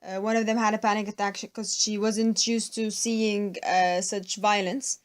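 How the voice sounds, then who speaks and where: A woman's voice: medium pitch, little pitch movement, normal speed. A young woman student from Egypt answering interview questions and recounting events earnestly. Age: young adult.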